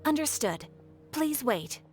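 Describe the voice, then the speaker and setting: An actress playing a polite guild receptionist in a video game, speaking in a formal, measured, courteous way. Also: female voice, high voice, sing-song, faint music behind, exaggerated voice. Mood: apologetic, formal.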